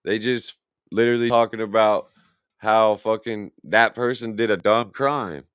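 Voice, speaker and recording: A man's voice: on the low side, fairly even intonation, measured pace, a bit bright, clean tone, no room sound, studio quality. A young man, reacting to a video in blunt, profane, rambling casual commentary. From Illinois, USA. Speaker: reaction streamer.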